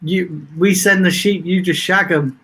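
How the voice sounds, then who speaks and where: A man guests on a casual live watch-along panel and chimes in often with quick agreement. His voice sits a little higher than the others. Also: steady tone, bright, forceful tone, a little hiss or hum. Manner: short agreeing interjections. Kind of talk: casual chat.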